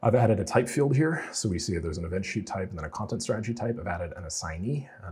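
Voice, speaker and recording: Deep husky man talking fast. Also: darkish tone. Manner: casual, explanatory, loose with fillers. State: getting over a cold. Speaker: automation freelancer teaching a client build. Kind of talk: screen-share tutorial walkthrough.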